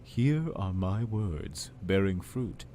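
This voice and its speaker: Male actor as manipulative cult lord, lowish pitch, widely swinging intonation, condescending formal speech, audio drama, faint noise behind. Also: expressive, slow, dark tone, exaggerated voice. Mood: probing, pressing. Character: manipulative, falsely tender cult lord, adult man.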